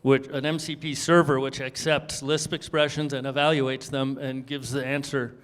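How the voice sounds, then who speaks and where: A man's voice: medium pitch, little pitch movement, normal speed, long reverb tail. A man on a panel, explaining his views and thinking aloud as he goes. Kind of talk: panel discussion.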